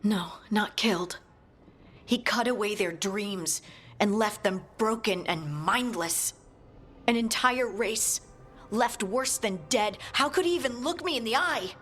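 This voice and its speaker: A woman's voice: medium pitch, unhurried, fairly bright tone, a little hiss or hum, close to an everyday voice. A woman playing an earnest, aggrieved young dwarf woman in a dramatic fantasy video game. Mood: wounded anger. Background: background music.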